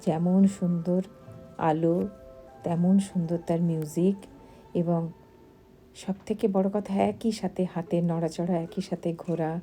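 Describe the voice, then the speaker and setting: A woman narrating a travel vlog in voice-over, speaking in short, unhurried phrases. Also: fairly low pitch, level tone, dark tone. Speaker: travel vlogger. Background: music.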